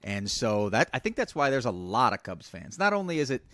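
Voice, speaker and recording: A man's voice: medium pitch, big pitch swings, dry recording, clean studio sound. A comedian guest, a man born in Iran and raised in the United States, talking casually with many fillers and restarts. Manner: halting, many fillers and restarts, soft-spoken. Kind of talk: casual podcast chat.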